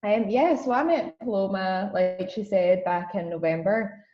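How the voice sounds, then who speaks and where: A woman's voice: mid-range voice, unhurried, reverberant, highs cut off. A woman in her twenties with a broad Scottish accent, giving a chatty webinar talk as guest speaker over a video call, with plenty of ums. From Scotland. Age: 20s. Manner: friendly, chatty, many ums. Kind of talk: webinar presentation.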